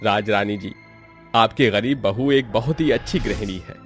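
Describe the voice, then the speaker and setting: A man's voice: lowish voice, moderate pace, faint music behind, lightly acted. A man playing a dignified cartoon priest. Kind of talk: Hindi moral-story cartoon dialogue.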